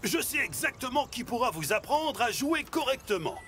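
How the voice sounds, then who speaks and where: A man's voice: very high-pitched, big pitch swings, moderate pace, heavily acted. A man voicing a kindly grandfather truck in a French children's cartoon, encouraging and theatrical. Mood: rousing. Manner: theatrical, encouraging announcer-like delivery. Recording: loud music under the voice.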